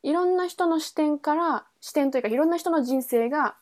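Higher-voiced woman, chatting informally. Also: brisk, a little mellow. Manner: informal, thinking aloud, self-correcting. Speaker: podcast host introducing a book. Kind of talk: casual chat explaining a novel.

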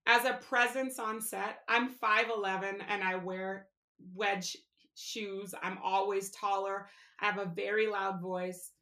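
Frank woman director, bright tone, chatty fillers, echoey room sound. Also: mid-range voice, steady tone, a little slow. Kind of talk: candid interview talk. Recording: roomy echo.

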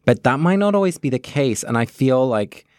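A man's voice: medium pitch, lively intonation, normal speed, a little mellow, no echo, studio quality. A man co-hosting a podcast, talking in a reflective, casual way. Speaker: podcast co-host. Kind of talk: casual chat.

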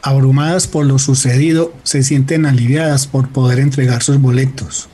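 Male narrator, dark relaxed tone, a bit breathy, dramatic scripted storytelling. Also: mid-range voice, normal speed.

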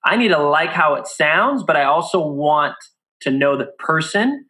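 A middle-aged man from Brazil, a guest on a casual podcast, speaking in an earnest, deliberate way about his music blog. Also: a little high, lively intonation, sharp tone. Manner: earnest, deliberate, acts out quotes in a raised voice.

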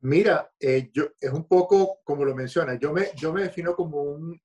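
Relaxed Venezuelan man, hesitant talk. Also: fairly high pitch, normal speed. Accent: Venezuelan Spanish. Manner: relaxed, hesitant, with many fillers and restarts. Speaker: guest author. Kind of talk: podcast interview. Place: home.

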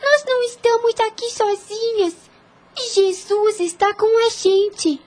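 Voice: very high-pitched, slow, a little mellow. An actor playing a devout, innocent and inquisitive little girl in a radio soap opera, speaking in an earnest, childlike way. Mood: pleading.

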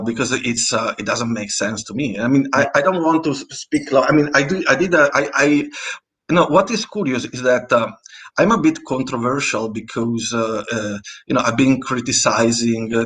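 A man's voice: mid-range voice, a bit bright. A man with an Italian accent, a native Italian speaker, talking as a guest artist in a casual interview, hesitant and full of fillers and restarts. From Italy.

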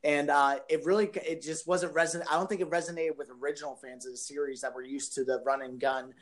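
A man with a fairly high-pitched voice co-hosts a podcast. He talks informally, laying out opinions in an explanatory way, as in a casual discussion among friends. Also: fairly even intonation, quick pace. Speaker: podcast co-host.

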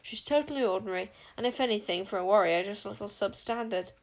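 A stiff, proud warrior queen from a storybook, played by a woman narrator. She speaks briskly and scornfully, with haughty command. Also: mid-range voice, close to an everyday voice. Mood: brisk, asperity.

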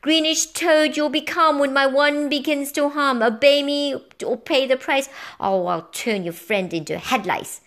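A woman's voice: on the high side, expressive, unhurried, a bit bright. A woman voicing a wicked, ancient witch empress in a storybook, barking orders in a shrieking, commanding manner. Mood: triumphant, menacing. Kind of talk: storybook character voice.

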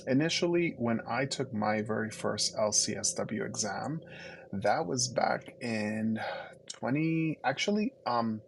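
A man's voice: medium pitch, animated, unhurried, vocal fry, noisy background. A man from the Dominican Republic whose first language is Spanish, telling his story reflectively as a podcast guest, with frequent fillers and restarts. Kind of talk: conversational interview storytelling.

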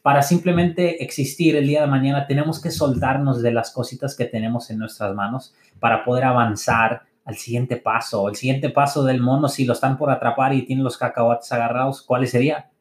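A man hosting a motivational podcast, speaking earnestly and encouragingly, often pausing on fillers and restarting words. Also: mid-range voice, steady tone. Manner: halting, frequent "eh" fillers and restarts. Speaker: podcast host. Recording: echoey room.

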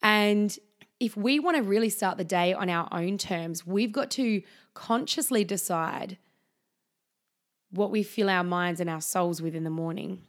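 Slightly husky woman podcasting. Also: medium pitch, unhurried. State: slightly husky from being unwell. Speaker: podcast host. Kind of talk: reflective solo podcast monologue.

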